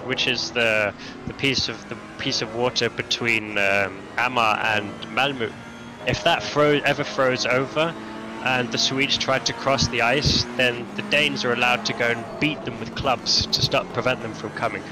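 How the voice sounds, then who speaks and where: A man giving casual, halting live commentary on a sim race for a charity stream, full of restarts and fillers. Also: medium pitch, fairly even intonation, noisy background. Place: lives in Denmark.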